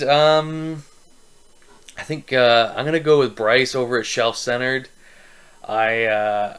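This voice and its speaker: A man's voice: mid-range voice, bright, forceful tone. Casual, rambling man chatting about his opinions. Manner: rambling, blunt, joking. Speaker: book review video host. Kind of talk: casual opinionated vlog chat.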